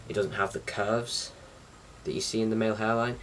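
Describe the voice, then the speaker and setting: Low-voiced young man, chatting casually. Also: little pitch movement, a little fast, a bit bright. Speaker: vlogger. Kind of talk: casual chat to camera. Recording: distant mic with faint background noise.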